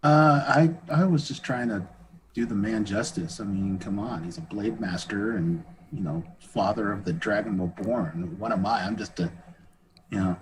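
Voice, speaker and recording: A man's voice: on the low side, normal speed. An American man from San Diego chatting as a guest in a modest, self-deprecating way, over noisy audio. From San Diego, United States. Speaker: guest fan performer. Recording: clear background noise on call.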